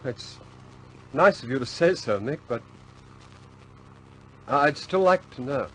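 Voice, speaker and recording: An actor playing an earnest, self-doubting young RAF squadron leader in a 1950s British war film, hesitant and understated. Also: male voice, mid-range voice, animated, slow, a bit bright, a little hiss or hum, low-quality recording.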